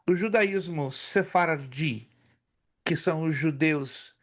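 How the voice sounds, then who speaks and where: Teacher, male, somewhat high voice, animated storyteller in a relaxed class. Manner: storytelling, animated, lists facts. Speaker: theology teacher. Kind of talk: casual class conversation.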